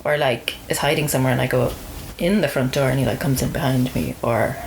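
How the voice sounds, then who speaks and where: A woman's voice: very deep voice, a little fast, gravelly, a little hiss or hum, poor audio. A young woman with an Irish accent hosting a podcast in a casual, conversational way. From Ireland. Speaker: podcast host. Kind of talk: casual chat.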